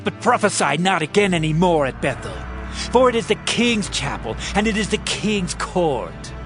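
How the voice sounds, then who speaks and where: An actor playing a haughty biblical priest in dramatized scripture, with an imperious, scornful, declamatory delivery. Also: male voice, high-pitched, very animated, moderate pace. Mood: scornful, commanding. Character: haughty, accusing male priest of Bethel. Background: music.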